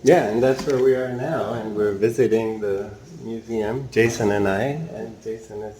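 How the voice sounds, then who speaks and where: A man's voice: on the low side, fairly even intonation, moderate pace, crowd noise. A man from Spain, chatting casually and asking questions as a travel podcast host. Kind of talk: casual chat and informal interview.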